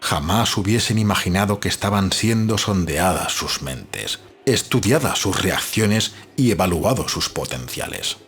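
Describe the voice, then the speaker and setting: A man reading a novel aloud as a narrator, in a measured, solemn storytelling manner. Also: sits low, lively intonation, a bit bright, husky. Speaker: audiobook narrator. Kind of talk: scripted literary narration of a novel.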